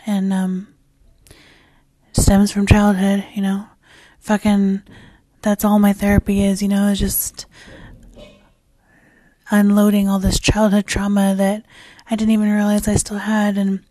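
A woman talks through her own podcast with a raspy, worn-out voice that is nearly gone. She rambles candidly, circling back over her words and swearing casually. Her tone sits dark and mellow with little rise and fall, and the recording is dry and close. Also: medium pitch, completely flat delivery, a little slow, dry recording. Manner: rambling and candid, with frequent fillers and restarts. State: hoarse, losing her voice. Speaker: podcast host. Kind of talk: casual solo podcast chat.

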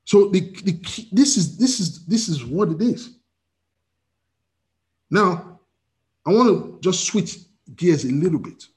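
A man's voice: high-pitched, animated, slow pace, pauses often, darkish tone. A male pastor leading an interactive sermon over a video call, talking with many repeated restarts and emphatic rising bursts.

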